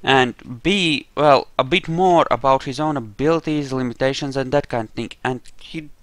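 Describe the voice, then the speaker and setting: A man's voice: medium pitch, normal speed, dry recording. A man giving casual role-play commentary over a video game, explaining his character and trash-talking enemies. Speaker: gamer, playthrough host.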